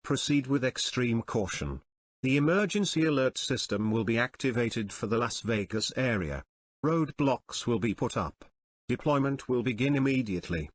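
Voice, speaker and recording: Formal male official alert announcer, mid-pitched. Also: animated, normal speed. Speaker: command announcer issuing a special warning. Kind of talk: scripted official alert narration.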